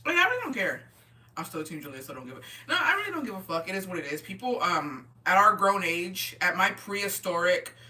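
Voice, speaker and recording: A woman's voice: lowish voice, very animated, brisk, bright, forceful tone. A woman in her twenties hosting a casual livestream, tipsy, chatting with viewers in slangy talk with swearing and Spanish words mixed in. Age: 20s. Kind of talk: casual livestream chat, reading and answering comments. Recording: voice distant from the microphone.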